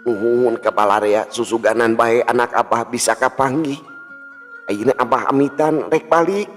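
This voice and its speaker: A man's voice: mid-range voice, steady tone, moderate pace, pitched above the performer's own voice, lightly acted. Man voicing a humble old Sundanese village father. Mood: resigned, grateful. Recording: long hall reverb with music.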